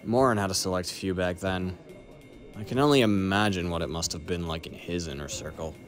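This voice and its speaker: A wry, secretive male mercenary, voiced by an actor, speaking in a low register. His manner is dry and laid-back with a teasing edge, set in an audio roleplay over faint music. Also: fairly quick, close to an everyday voice. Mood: reflective. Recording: music playing behind the voice.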